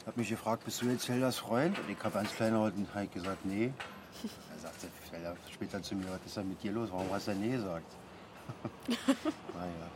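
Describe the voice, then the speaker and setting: An actor playing an easygoing middle-aged father in a radio drama, rambling haltingly with a Berlin accent. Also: on the low side, lightly acted. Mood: reminiscing. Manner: colloquial, halting, chatty. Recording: clear street and traffic noise.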